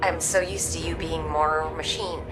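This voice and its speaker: An actress playing a gentle, sympathetic woman who speaks softly and reassuringly in a game cutscene. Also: low voice, fairly even intonation, normal speed, close to an everyday voice. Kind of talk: scripted game cutscene acting. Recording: distant, loud music behind.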